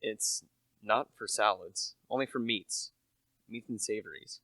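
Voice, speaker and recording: A man's voice: on the low side, unhurried, bright, forceful tone, no room sound. A young man playing a humble, earnest salesman turned amateur sleuth in a radio play, plain-spoken and a little hesitant. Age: young adult.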